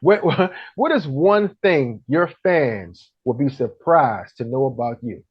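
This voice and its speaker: A woman hosting an interview, chatting casually and backing up her guest with steady affirmations. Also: very deep voice, slow, slightly rough, highs cut off. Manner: supportive, frequent affirmations. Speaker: interview host.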